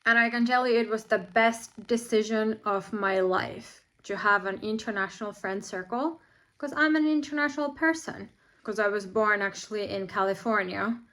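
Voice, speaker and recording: A woman's voice: medium pitch, moderate pace, a bit bright. A woman in her forties from Finland, frankly telling personal stories to camera, earnest and at times indignant. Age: 40s. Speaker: vlogger.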